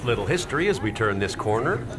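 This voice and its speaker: An actor playing a jovial, folksy veteran tour guide who loves corny dad jokes, in an audio drama. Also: male voice, on the low side, very animated, fast, crowd noise. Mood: upbeat. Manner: jovial, folksy showman, addresses the group as folks.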